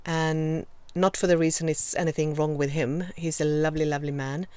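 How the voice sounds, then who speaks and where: A woman in her fifties with a Swedish accent, hosting a live video Q&A and gently encouraging her viewers. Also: low-pitched, level tone, smooth, no echo. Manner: gentle, encouraging, slow and reflective with many pauses. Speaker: host.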